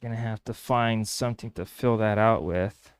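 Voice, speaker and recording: A man's voice: on the low side, fairly even intonation, moderate pace, clean tone. Man, native Spanish speaker, woodworking vlog. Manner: thinking aloud, hesitant restarts. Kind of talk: casual workshop vlog narration.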